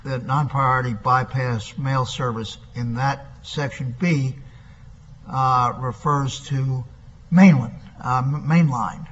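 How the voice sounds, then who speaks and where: A man's voice: mid-range voice, fairly even intonation, takes it slowly, a bit bright. A man serving as an appeals judge, questioning a lawyer dryly and restating her argument back to her. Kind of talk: questioning counsel in oral argument. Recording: dull recording in a large echoing courtroom with faint hiss.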